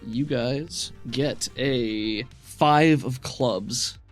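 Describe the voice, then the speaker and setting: A man's voice: mid-range voice, drawn-out words, soft background music. A man running a tabletop roleplaying game, explaining rules and narrating the action in an informal, conversational way. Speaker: game master.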